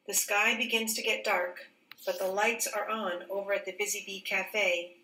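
A woman teacher reading a children's picture book aloud in a careful, expressive, clearly phrased way. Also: medium pitch, moderate pace. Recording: roomy echo, distant microphone.

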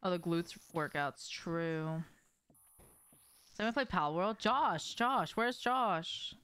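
A woman's voice: lowish voice, animated, slow, a bit bright, clear, resonant tone. A young woman chatting casually as she streams a game, informal and prone to swearing, speaking to her viewers in a small room. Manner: informal, swearing, addressing viewers and reacting to gameplay. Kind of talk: casual livestream chat while gaming. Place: small indoor room at home.

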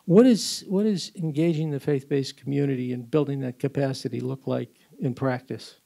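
A man in his sixties moderating a panel discussion, holding a mic and asking long, halting questions with restarts. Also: mid-range voice, gravelly, warm tone. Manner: rambling, hesitant questions with restarts. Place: conference room with audience. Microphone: handheld mic.

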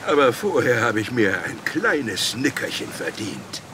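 An actor playing a scheming, boastful rogue who mutters and cackles to himself in a children's audio drama. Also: male voice, mid-range voice, very animated, measured pace. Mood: smug gloating. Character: scheming gloating male villain, a ship-stealing rogue. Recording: noisy sound-effect bed behind.

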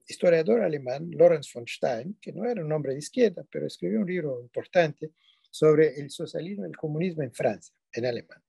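A man's voice: sits high, vocal fry, mellow, slightly rough, no echo. An older man whose first language is not Spanish, giving an online lecture from a dim room at home over a webcam, digressing and often self-correcting with tag questions. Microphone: video call webcam.

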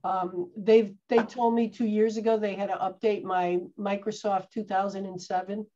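A woman's voice: medium pitch, level tone, moderate pace, noisy background. A woman who heads a town parks department, answering questions at a meeting with some hesitant restarts, speaking from a home office on a video call. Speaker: parks and recreation staff head. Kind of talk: casual meeting discussion. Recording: dull video call audio.

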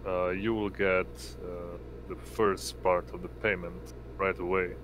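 A man acting as game master in an online tabletop role-playing game, narrating in a halting, hesitant way. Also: deep voice, little pitch movement, noisy background. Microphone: online voice call.

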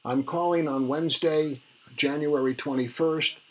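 An older man leaving a rambling, formal phone message in a halting, deliberate way, recorded in a small room. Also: on the high side, fairly even intonation, poor audio. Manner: halting, spells out numbers deliberately. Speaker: caller leaving a voicemail. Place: small cluttered room indoors.

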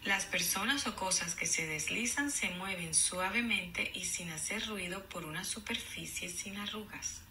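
A woman teacher reading aloud to children in a deliberate, explanatory way, enunciating for young learners. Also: mid-range voice, bright, forceful tone, a little hiss or hum. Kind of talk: children's read-aloud lesson.

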